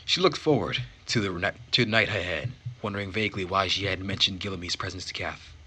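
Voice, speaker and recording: Guy reading a visual novel out loud, theatrical, lowish voice, faint noise in the background. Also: fast. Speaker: let's play host and narrator. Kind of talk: dramatic read-aloud narration of a visual novel.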